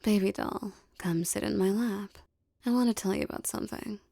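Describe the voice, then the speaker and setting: A woman playing a tough but affectionate female mafia boss in an intimate ASMR roleplay, with teasing, streetwise talk and a soft voice. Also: medium pitch, moderate pace, gravelly, no room sound, studio quality. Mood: intimate, serious.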